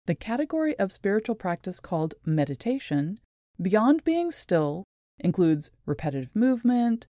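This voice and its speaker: Woman reading out a prepared talk, slow careful phrasing, softer mellow tone, dry close sound. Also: on the low side, dry recording. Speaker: presenter. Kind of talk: scripted explanatory narration with read quotations.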